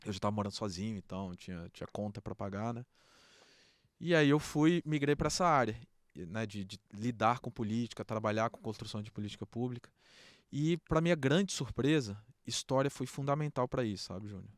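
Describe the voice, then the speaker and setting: Smooth-voiced middle-aged man, Brasília accent. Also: mid-range voice, brisk, no echo, studio quality. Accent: Brasília Brazilian. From Brasília, Brazil. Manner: informal, peppered with check-in tags. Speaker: podcast guest, trade association president. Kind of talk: casual conversational interview.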